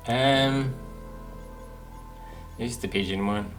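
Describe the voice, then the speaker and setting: A man's voice: mid-range voice, slow pace, soft background music. A man giving casual playthrough commentary, thinking aloud tentatively as he goes. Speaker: gaming streamer.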